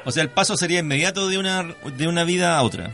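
Bright, higher-pitched Chilean male radio host. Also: rapid-fire, soft background music. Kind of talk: conversational radio interviewing.

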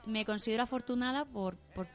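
Animated woman in a radio studio chat. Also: a little high, fast talker. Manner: animated, laughs often, restarts mid-sentence. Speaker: production manager of a theatre group. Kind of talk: casual radio interview chat.

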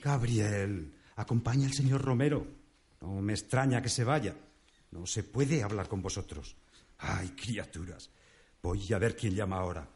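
A man's voice: on the low side, a little slow, a little mellow. A man playing a bossy, weary gatekeeper of heaven in a comic radio drama.

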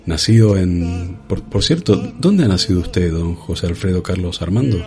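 A man hosting a comedy interview in a studio, polite and deadpan, often stammering on restarts. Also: low-pitched, moderate pace, faint music behind. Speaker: host.

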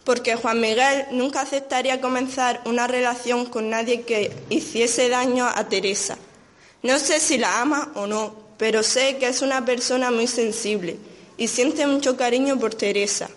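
High-voiced lovestruck teenage girl, acted by a young woman. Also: fairly even intonation, moderate pace, close to an everyday voice. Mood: anxious, earnest. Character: lovestruck, conflicted teenage girl, secretive and guilt-ridden. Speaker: student radio drama actor. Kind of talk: amateur radio soap acting. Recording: amateur school radio play recording.

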